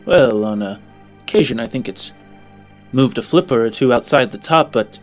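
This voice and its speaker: A man's voice: mid-range voice, normal speed, faint music behind, lightly acted. A man voicing an easygoing, weary man with a wry, courteous manner in a tabletop game.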